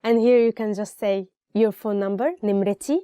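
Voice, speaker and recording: A woman's voice: medium pitch, fairly even intonation, brisk, mellow, clear, resonant tone, dry recording, clean studio sound. A woman from Egypt with an Egyptian accent, a native Arabic speaker, hosting a language lesson in an informal, explanatory way. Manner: conversational, explanatory, giving examples.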